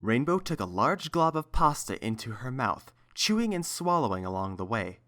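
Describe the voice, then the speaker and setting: A man's voice: medium pitch, sing-song, moderate pace, bright, forceful tone. A man reading a scripted story as its narrator.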